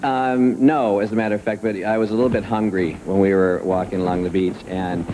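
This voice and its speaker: A man's voice: lowish voice, little pitch movement, a little fast, warm tone. A man contestant on a dating show, easygoing and a little sheepish as he tells his story. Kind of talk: casual talk-show chat. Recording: noisy old broadcast.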